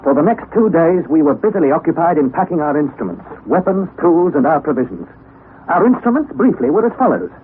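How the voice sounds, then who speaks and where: A young man narrating his adventure in first person for a 1960s radio drama, measured and careful. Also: fairly high pitch, very animated, faint music behind. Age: young adult. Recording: tinny old radio broadcast with hiss.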